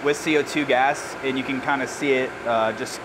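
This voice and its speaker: A man in his thirties working as a brewer, explaining barrel filling in a relaxed, casual way on a brewery floor. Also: mid-range voice, moderate pace. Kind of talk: hands-on explanation. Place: large brewery floor with steel tanks, indoors. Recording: clear machinery and air-con noise.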